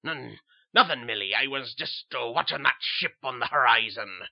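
A tyrannical old sea dog barks orders in a gravelly, husky roar, as a man puts him on. His voice swings widely from low growls to high shouts. It comes through a dull, lo-fi recording. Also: lively intonation, moderate pace, dry recording. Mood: evasive. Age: older. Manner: bellowing pirate-style seaman's talk. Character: gruff old male retired sea captain, bullying.

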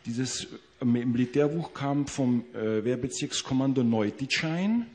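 A man interpreting a witness's testimony into German in court, pausing to search for words. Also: medium pitch, a little mellow. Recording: old 1960s courtroom tape.

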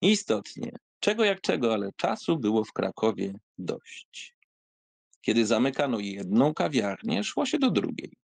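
A man giving an expressive, theatrical reading of a literary essay, with wry asides and sighs. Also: mid-range voice, a little slow, vocal fry, no echo. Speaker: audiobook reader.

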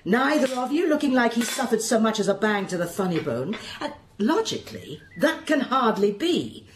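Female sceptic, radio drama: quick-witted, argumentative, fairly high voice, roomy sound. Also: very animated. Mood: sarcastic, challenging. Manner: sarcastic, argumentative. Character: dry, sceptical, quick-witted woman challenging authority. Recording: roomy, faint background noise.

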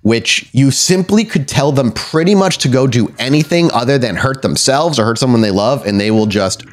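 A man's voice: medium pitch, expressive, normal speed. A man narrating a tabletop horror game as storyteller, in a casual, conversational style. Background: faint music.

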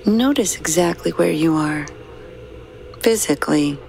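A woman guiding a sleep meditation in a slow, soothing, hushed manner with long pauses. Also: low voice, expressive, vocal fry, a little hiss or hum, rough recording. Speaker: meditation guide. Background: soft background music.

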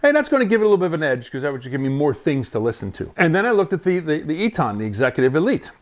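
A man's voice: on the high side, sing-song, rapid-fire. A man in his sixties, a ham radio channel host, chatting casually and rambling a little as he unboxes a radio in his home radio room on a lapel mic. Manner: chatty, rambling, chuckles often. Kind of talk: casual product unboxing vlog.

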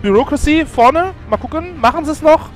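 High-voiced man breaking down game tactics. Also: animated, brisk, soft background music. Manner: analytical, eager, talks tactics. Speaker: co-commentator. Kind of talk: casual game-match commentary.

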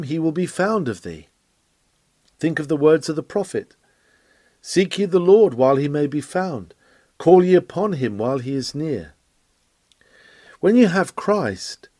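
Male sermon reader, earnest exhorting oratory, lively intonation, dry close sound. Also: on the high side, no echo. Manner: earnest, pleading, emphatic oratory.

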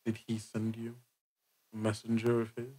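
A man's voice: lowish voice, monotone, dark tone, husky, poor audio. A man voicing a proud, guarded church dignitary, speaking formally and coldly in a tabletop roleplay. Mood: guarded, demanding. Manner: formal, haughty, deliberate.

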